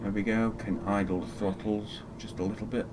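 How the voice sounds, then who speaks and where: A man from Fiji giving casual, low-key live commentary as a flight simulator streamer, muttering through procedures as he goes. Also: flat delivery, brisk, noisy background.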